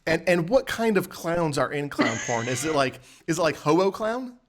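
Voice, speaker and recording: A man hosting a podcast in casual comedic chat, putting questions to his guests in a deadpan way. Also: on the high side, normal speed. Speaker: podcast host.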